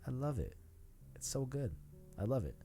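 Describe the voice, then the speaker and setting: A man's voice: mid-range voice, sing-song, normal speed, warm tone, slightly rough. A man hosting a casual video game discussion, enthusiastic and slangy, often repeating himself for emphasis. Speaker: podcast host. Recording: music playing behind the voice.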